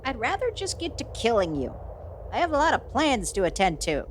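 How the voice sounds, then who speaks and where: Woman playing a high, haughty, flustered villain sorceress. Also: very animated, a little fast, a little hiss or hum. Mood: grandiose. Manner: haughty, theatrical, defensive. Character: proud, flustered young-looking immortal villain sorceress. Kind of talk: fantasy audio roleplay acting.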